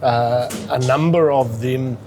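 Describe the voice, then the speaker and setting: A man with an Afrikaans accent, a native Afrikaans speaker from South Africa, giving measured answers as an interview guest, pausing and clearing his throat. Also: mid-range voice, darkish tone, faint music behind. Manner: deliberate, clears throat, pauses with uh.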